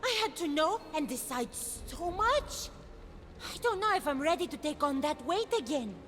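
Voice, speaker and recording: A youthful, petulant goddess of wisdom from a video game. Her voice sits very high and moves up and down dramatically. She sounds hesitant yet emphatic, with music clearly playing behind her. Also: very animated, normal speed, a bit bright. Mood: doubtful. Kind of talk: video game cutscene acting.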